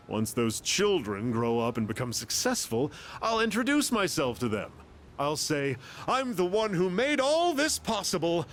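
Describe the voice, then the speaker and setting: Highish animated voice, pompous, defensive, boastful rich schemer, anime game, light music. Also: male voice. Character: boastful, self-important rich male schemer. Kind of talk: anime game dub acting.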